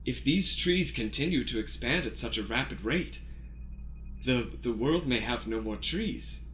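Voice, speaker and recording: An actor playing a polite, dutiful, quietly proud female lab assistant, prim and deadpan, in an improvised comedy radio play. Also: mid-range voice, unhurried. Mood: earnest, alarmed. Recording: roomy echo, light background noise.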